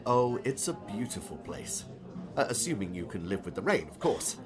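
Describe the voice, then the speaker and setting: A man's voice: on the low side, sing-song, normal speed, music in the background. A man playing a genial, eccentric older novelist with a polite, quaint, chatty manner in an audiobook. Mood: chatty, fond. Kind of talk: audiobook character dialogue.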